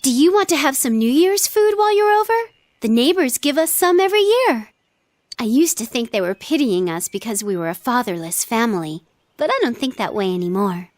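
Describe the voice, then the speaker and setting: A woman voicing a sincere, warm-hearted teenage girl in an anime-style video game, speaking in a casual, gentle way. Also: fairly high pitch, big pitch swings, a little fast, dry recording. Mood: friendly, reflective.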